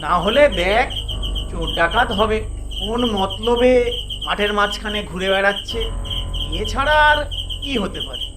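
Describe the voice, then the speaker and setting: A man's voice: sits high, animated, measured pace, long pauses, over music. A man playing a sensible schoolboy who reasons dismissively in a ghost story cartoon.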